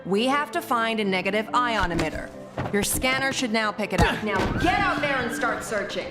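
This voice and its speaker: A stern cartoon warrior woman, acted by a woman, businesslike and commanding. Her voice sits a little high, and music is clearly heard behind her. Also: expressive, moderate pace, natural voice. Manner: curt, commanding. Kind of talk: animated game voice acting.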